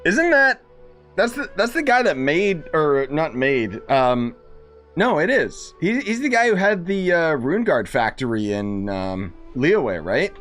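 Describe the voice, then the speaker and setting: A young adult streamer comments casually on a game. The pitch moves around a lot, and the delivery is slangy, exasperated and sarcastic, with faint music behind it. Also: very animated, a little slow, a bit bright. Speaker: gaming streamer.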